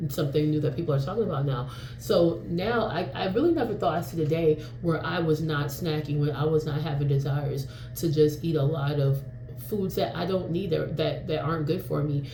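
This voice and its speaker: A woman in her forties from New York chats to camera in a rambling, candid vlog style, full of ums and the occasional curse. Her voice is mellow and relaxed. The room around her rings with echo, and a faint hum sits underneath. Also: sits low, a little fast. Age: 40s. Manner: rambling and frank, with frequent fillers and mild swearing. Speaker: vlogger. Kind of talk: casual talking-to-camera vlog.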